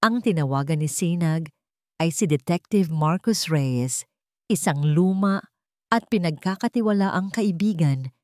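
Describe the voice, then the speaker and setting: A woman with a Filipino accent reading a scripted dramatic story in a measured, suspenseful way. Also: on the low side, warm tone, no echo, studio quality. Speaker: story narrator.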